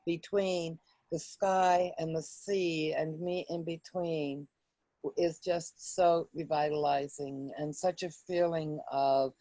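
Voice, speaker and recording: Woman, reflective and halting; very slow delivery, soft-edged dark tone, personal and informal. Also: low-pitched, steady tone, dry recording. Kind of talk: poem reading and casual personal recollection.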